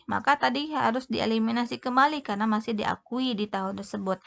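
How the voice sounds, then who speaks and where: A woman gives a tutorial in a creaky voice. Her pitch sits at an ordinary middle level for a woman. She explains step by step, often hesitating and stretching words as she goes. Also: a bit bright. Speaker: accounting tutor.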